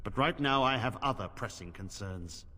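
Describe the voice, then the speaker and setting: An actor playing a stern, weary middle-aged templar commander in a fantasy game, speaking gravely and formally. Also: male voice, lowish voice, expressive, moderate pace, bright, forceful tone. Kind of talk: fantasy video game dialogue. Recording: reverberant stone hall, music underneath.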